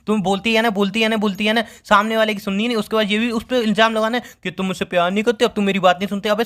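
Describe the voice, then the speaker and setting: A man acting as a young boyfriend at the end of his patience, talking fast. He argues back with sarcasm and pleading, repeating his phrases in exasperation. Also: high-pitched, fairly bright tone. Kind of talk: heated relationship argument, recorded-call skit.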